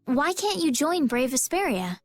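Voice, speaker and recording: A woman voicing a polite, gentle but determined young princess in an animated role-playing video game. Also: high-pitched, normal speed. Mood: earnest, polite. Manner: polite, sincere, hesitant. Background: faint background music.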